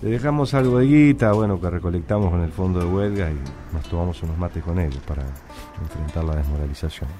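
Man acting a brooding low-voiced Argentine factory worker. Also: a little fast, over music, lightly acted. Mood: brooding, reflective. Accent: Rioplatense Argentine. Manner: slangy, reflective. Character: troubled working-class male factory worker, brooding and foul-mouthed. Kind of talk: radio drama acting and first-person narration.

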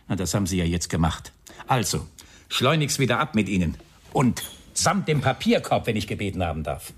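An actor playing a sardonic, brusque police inspector in a German radio crime drama, with a dry, teasing, probing way of talking. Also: male voice, fairly low pitch, sing-song, fairly quick, breathy edge.

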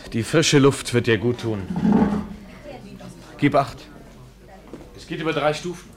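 An actor playing a cool, impatient young gentleman in a radio play, with a curt, controlled, ironic manner. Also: mid-range voice, unhurried, close to an everyday voice. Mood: coolly attentive.

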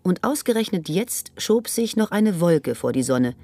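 A woman's voice: fairly low pitch, very animated, measured pace, dark tone, no room sound, exaggerated voice. An actress playing a reflective, critically observant woman writer in a literary radio drama monologue. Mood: scornful.

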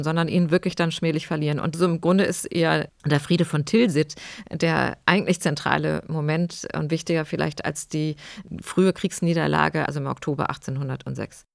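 A woman's voice: deep voice, creaky, dry recording, clean studio sound. A woman speaking as a history expert, explaining in spontaneous, thoughtful interview phrasing.

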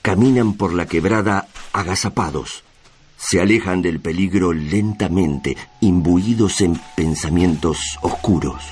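A man narrating a radio drama in a solemn, measured, literary manner. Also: deep voice, darkish tone, slight background noise. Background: music.

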